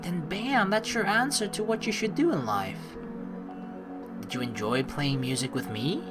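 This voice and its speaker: A woman hosting a let's play, informal and animated, mixing game commentary with reading dialogue aloud. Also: low-pitched, a little slow, loud music behind the voice.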